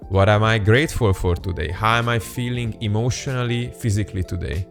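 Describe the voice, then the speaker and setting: A man's voice: on the low side, a little fast, vocal fry, soft background music. A young man whose first language is Hungarian, talking earnestly and upbeat to camera in a casual vlog, with small laughs and restarts. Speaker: vlogger. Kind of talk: casual direct-to-camera talk.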